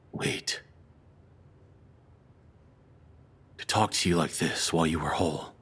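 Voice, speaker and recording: A low, breathy male voice for an obsessive, unhinged captor acted by a man in an audio roleplay. He confides softly and haltingly, tender toward his beloved yet calmly menacing. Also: full character voice. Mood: apologetic, tender. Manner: soft, confessional, hesitant, calmly menacing. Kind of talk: intimate scripted audio roleplay monologue.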